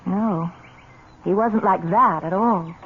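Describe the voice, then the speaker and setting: A woman's voice: medium pitch, slow pace, close to an everyday voice. Woman acting a solicitous, questioning young fiancée, tinny sound. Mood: probing. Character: caring, doubting young fiancée, probing and affectionate. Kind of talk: old-time radio drama acting. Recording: tinny noisy old radio recording.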